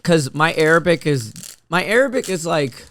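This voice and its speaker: A man's voice: on the high side, lively intonation, moderate pace, fairly bright tone. A man hosting a casual comedy podcast chat, joking loosely and rambling with many restarts.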